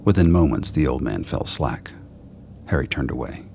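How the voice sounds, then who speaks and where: An exceptionally deep-voiced man narrates a radio play. His voice crackles with gravelly fry, and noticeable noise sits behind it. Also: lively intonation, moderate pace, a little mellow. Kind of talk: scripted radio drama narration. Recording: clear background noise.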